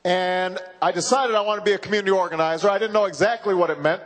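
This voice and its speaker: Halting high-voiced man in a reverberant hall. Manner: halting, measured, with many uhs and repeated words. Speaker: political candidate. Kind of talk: campaign speech. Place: large university hall.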